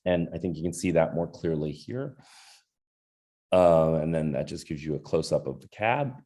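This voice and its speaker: Deep-voiced man presenting, halting. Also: animated, warm tone. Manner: hesitant, many ums and uhs. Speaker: architect presenting a design. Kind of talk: presentation talk.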